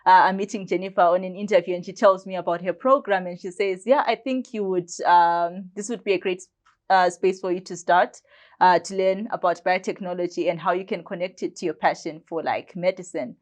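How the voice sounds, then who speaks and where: A young woman talks through her story in a relaxed, chatty way. Her voice sits a little low, and her sentences are loose and dotted with fillers. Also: brisk. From Zimbabwe. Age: about 20. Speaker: guest, biomedicine student. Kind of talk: casual storytelling in conversation.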